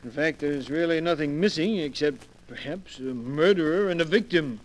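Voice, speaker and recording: Man as wry gentleman visitor, slightly high voice, polite, measured, 1940s radio acting. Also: slight background noise, natural voice. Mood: dry, wry. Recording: 1940s radio drama recording.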